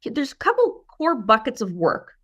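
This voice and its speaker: A woman's voice: on the high side, very animated, normal speed, fairly bright tone. A woman speaking as an interview guest and brand strategist, explaining in an emphatic, coaching way with rhetorical questions. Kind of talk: explanatory business interview talk.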